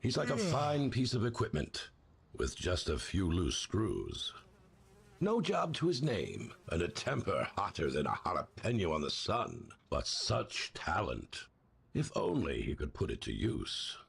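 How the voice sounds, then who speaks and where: An actor playing a wry, knowing, theatrical narrator in a folksy 1950s storytelling style for a video game. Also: male voice, deep voice, wildly swooping pitch, a little slow, gravelly, husky, full character voice. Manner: arch, folksy, dramatic.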